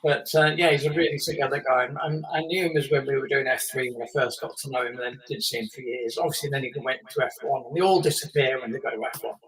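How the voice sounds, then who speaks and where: A man's voice: medium pitch, steady tone, fast talker, few pauses, a bit bright, recorded from a distance, rough recording. A man in his late fifties, a guest on a live chat, telling long stories with many fillers and small laughs. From England. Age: 50s. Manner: rambling storyteller with fillers and laughs. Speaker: guest, former racing driver.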